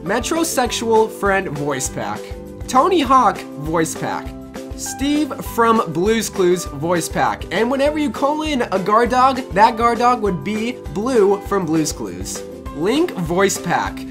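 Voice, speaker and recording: A woman's voice: mid-range voice, sing-song, slow pace. A woman hosting a video, narrating a casual comic list in a chatty way. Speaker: video creator and host. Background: background music.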